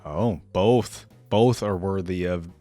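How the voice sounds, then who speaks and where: A man's voice: low-pitched, slow, mellow, soft background music. A man hosting a reaction video, giving informal, slangy, conversational commentary.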